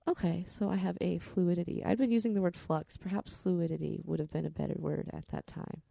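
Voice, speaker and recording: A woman's voice: on the low side, steady tone, brisk, gravelly, dark tone, no echo. A woman podcast storyteller telling personal stories in a candid, rambling, salty-tongued way.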